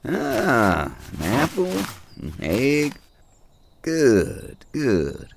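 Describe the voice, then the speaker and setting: Needling camp tramp, very animated intonation, slangy and folksy, audio play. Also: male voice, mid-range voice, extremely slow, vocal fry, background noise, full character voice. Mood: pleased. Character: brash, mocking male hobo sizing up newcomers. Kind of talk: audio drama acting.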